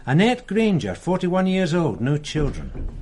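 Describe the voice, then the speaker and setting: An actor playing an earnest, easygoing police sergeant in a radio drama. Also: male voice, a little high, huge pitch swings, unhurried, warm tone.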